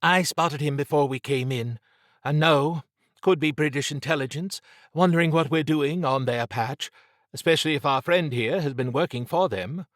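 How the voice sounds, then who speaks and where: A man voicing a brisk, sharp-tongued, exasperated female spy in an audiobook. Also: fairly high pitch, dry recording, studio quality, pitched above the performer's own voice, close to an everyday voice. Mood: brisk, wry. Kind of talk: audiobook character dialogue.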